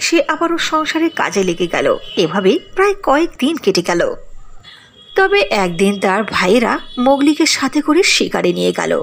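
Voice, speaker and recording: A woman narrating a cartoon fairy tale with expressive storybook delivery. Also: mid-range voice, moderate pace, creaky.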